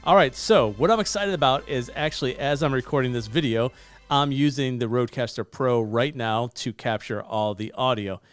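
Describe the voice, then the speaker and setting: A man's voice: mid-range voice, normal speed, smooth, soft background music. A man in his forties giving an upbeat, hands-on gear review from his home studio, close on a broadcast microphone. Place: home studio with bookshelves and blue lighting. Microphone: studio broadcast mic on boom arm, close.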